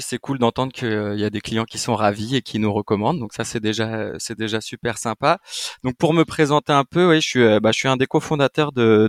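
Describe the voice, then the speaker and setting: A man's voice: mid-range voice, no room sound, clean studio sound. A French man in his twenties, a company co-founder, chatting casually as a guest with lots of fillers and restarts. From France. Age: 20s. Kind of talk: casual business interview.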